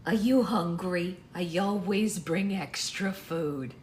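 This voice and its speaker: A woman voicing a kindly, inquisitive little old grandmother in a story reading, with slow, gentle delivery. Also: lowish voice. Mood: friendly, offering. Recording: roomy echo, faint background noise.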